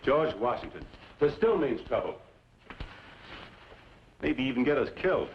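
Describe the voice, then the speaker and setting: An actor playing a wary, plain-spoken settler in an old-time radio western, folksy and terse. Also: male voice, mid-range voice, very animated, unhurried, a little hiss or hum. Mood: wary, resolved. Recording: muffled old radio recording, music behind.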